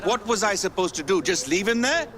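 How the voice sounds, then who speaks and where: Low-pitched commanding rebel leader. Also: male voice, expressive, normal speed, a crowd in the background. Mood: frustrated. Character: commanding male rebel leader, brotherly but impatient. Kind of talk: video game cutscene acting. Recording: game soundtrack and noise behind.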